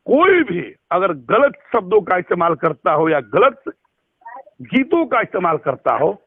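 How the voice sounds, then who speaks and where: A man's voice: sits high, very animated, measured pace, slightly rough, dry recording. A middle-aged man on a phone call, speaking earnestly and emphatically in repetitive, speech-like phrases. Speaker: anti-obscenity campaigner. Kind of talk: earnest phone conversation, exhortative. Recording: tinny phone line recording.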